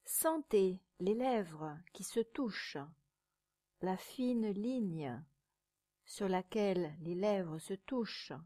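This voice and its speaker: A woman leads a guided meditation as a yoga nidra teacher. She speaks very slowly in short, measured phrases with pauses between them. Her voice is mid-pitched, mellow and soft, turning breathy at times, with a calm, soothing delivery.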